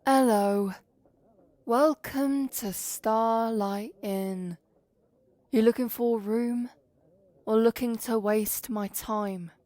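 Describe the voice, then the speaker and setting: A woman acts out a young high elf who runs a fantasy inn. Her voice sits fairly high, and she speaks formally, with a weary, curt edge suited to audio drama. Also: slow, darkish tone, dry recording. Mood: irritated, tired.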